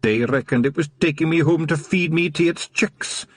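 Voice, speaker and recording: A man's voice: fairly high pitch, moderate pace, dry recording, voice pushed up, close to an everyday voice. A man voicing a tiny Scottish fairy watchman, stubborn, earnest and easily indignant.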